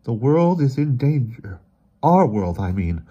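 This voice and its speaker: Storybook character played by a man: a grave, weary brother who is shaken yet insistent. His voice rides high and strained above a normal speaking level, with emphatic, exasperated, theatrical phrasing. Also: very animated, a little slow, dark tone, breathy edge, highs cut off. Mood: shaken, urgent.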